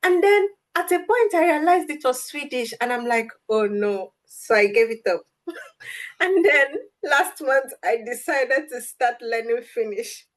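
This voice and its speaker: A woman's voice: sits high, animated, measured pace. A young woman with a Nigerian accent, a guest in an interview, earnest and reflective with light amusement. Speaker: guest, language advocate. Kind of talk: interview answers.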